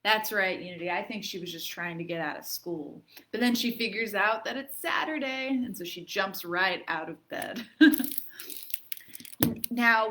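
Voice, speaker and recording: A woman hosting a library storytime for children, expressive, putting questions to her young listeners as she narrates. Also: medium pitch.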